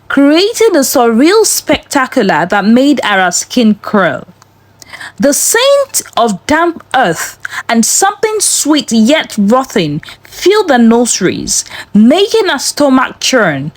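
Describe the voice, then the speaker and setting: A female narrator reads a suspenseful folktale with a dramatic delivery. Her voice sits a little high and swings expressively up and down. She takes her time over each phrase, keeping a slow, measured pace. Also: no echo, low-quality recording. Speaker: storyteller narrator.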